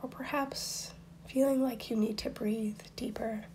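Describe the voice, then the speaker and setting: A woman guiding a meditation in a soft, breathy, near-whispered voice, soothing and unhurried, with long pauses between instructions. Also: fairly high pitch, fairly even intonation, slight background noise. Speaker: meditation guide. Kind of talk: guided meditation.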